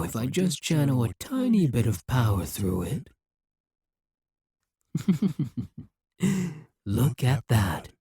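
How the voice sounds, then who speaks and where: An actor voicing a scheming, domineering demon monarch in a dramatic ASMR roleplay, speaking in a menacing, deliberate, taunting way. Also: medium pitch, a little mellow, slightly rough, dry recording, clean studio sound. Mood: gloating. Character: domineering demon monarch, scheming, smug, coldly seductive captor.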